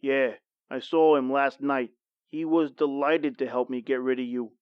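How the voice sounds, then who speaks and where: A man's voice: mid-range voice, fairly even intonation, moderate pace, smooth, dry recording, highs cut off, lightly acted. Guy playing a sardonic painter in an old radio horror play, morbid and theatrical, close dry sound. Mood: darkly teasing. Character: wry, world-weary male painter with a morbid wit. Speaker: voice actor. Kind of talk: old-time radio horror drama acting.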